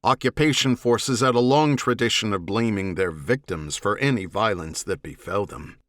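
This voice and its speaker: A man delivers scripted science fiction narration for an audiobook. His voice sits low, and his reading is serious, measured and dramatic. The recording is notably dry. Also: fairly bright tone, no room sound.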